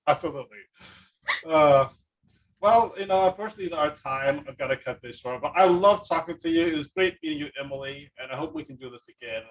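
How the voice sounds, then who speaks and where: A man's voice: on the high side, quick pace, recorded from a distance, a little hiss or hum, poor audio. A man hosting a podcast in a casual, rambling conversational way, often repeating his phrases. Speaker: podcast host. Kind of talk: casual conversational interview talk.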